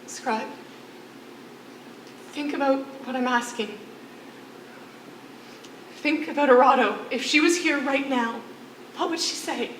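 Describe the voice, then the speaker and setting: A woman acts out a determined, idealistic artist prisoner in a stage drama. Her voice is high and forceful, urging with deliberate, persuasive emphasis. The sound is reverberant, as if captured from back in the theatre. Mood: urgent, resolute. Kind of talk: theatrical stage play acting. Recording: echoey, faintly noisy, distant stage recording.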